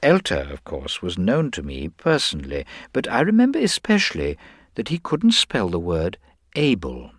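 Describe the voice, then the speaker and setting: Man voicing a mild, low-voiced, precise investigator. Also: huge pitch swings, normal speed, slightly rough, no room sound, clean studio sound, full character voice. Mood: explanatory, methodical. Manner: gentle, hesitant, precise, understated. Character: mild, hesitant middle-aged male government investigator, quietly shrewd. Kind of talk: dramatised audiobook character dialogue.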